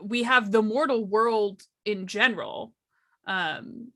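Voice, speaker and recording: A woman on a casual gaming panel, speaking expressively and thoughtfully, with pauses to find her words. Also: medium pitch, a bit bright.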